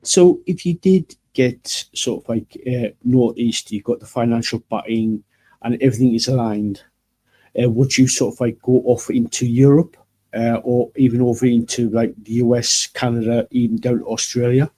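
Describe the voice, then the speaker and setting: A man with a mellow, dark voice hosts an interview and reads out viewer questions. He pauses often with uhs between phrases. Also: mid-range voice, fairly even intonation. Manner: hesitant, many uhs. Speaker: interviewer host.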